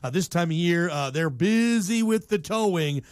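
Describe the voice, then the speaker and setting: A man's voice: high-pitched, expressive, normal speed, dry recording, clean studio sound. An American man hosting a sports talk radio show, giving opinions in a casual, slangy, emphatic conversational way.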